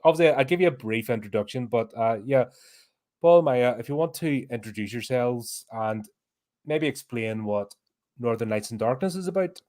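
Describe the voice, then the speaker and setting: A man's voice: mid-range voice, lively intonation, normal speed, darkish tone. A man hosting a podcast, easygoing and hesitant, with fillers. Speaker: podcast host. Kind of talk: casual podcast chat.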